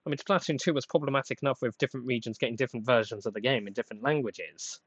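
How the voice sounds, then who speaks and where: A young man hosting a casual solo podcast, rambling and self-deprecating with many hesitations, a plain somewhat flat voice, getting over a cold. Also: medium pitch, very fast, dry recording, lo-fi sound. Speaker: podcast host.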